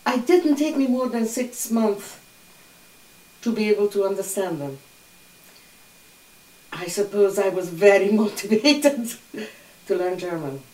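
Older woman telling her life story, calm and matter-of-fact, echoey room, mic kinda far off. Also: mid-range voice, a little slow, long pauses. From Hungary. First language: Hungarian. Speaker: interviewee. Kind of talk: oral history recollection. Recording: roomy echo, distant mic.

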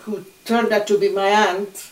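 Older woman telling her life story, calm and matter-of-fact, echoey room, mic kinda far off. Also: medium pitch, a little slow. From Hungary. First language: Hungarian. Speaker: interviewee. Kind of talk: oral history recollection. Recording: roomy echo, distant mic.

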